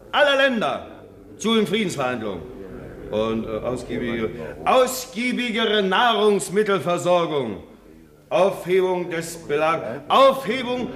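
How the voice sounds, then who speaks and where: A man's voice: high-pitched, a bit bright, heavily acted. An actor playing a nervous workers' chairman in a radio play, stumbling and repeating himself as he addresses an assembly, in a roomy hall sound. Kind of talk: German radio play acting.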